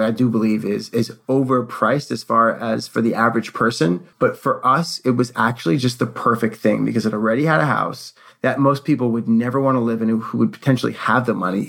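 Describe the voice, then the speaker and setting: A man with a creaky, gravelly edge to a mid-pitched voice tells a personal story as host. He speaks casually, as in conversation, and acts out the quoted lines as he retells them. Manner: animated retelling with acted-out quotes.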